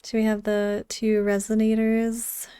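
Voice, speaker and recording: A woman game streamer chats casually in a soft, mellow tone. Her voice is close and dry, like an informal livestream commentary with an easygoing flow. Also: on the high side, completely flat delivery, unhurried, smooth, no room sound.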